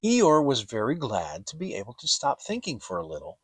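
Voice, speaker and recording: A man narrating a children's story as a dramatic audiobook, deliberate and expressive. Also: mid-range voice, slightly rough, dry recording.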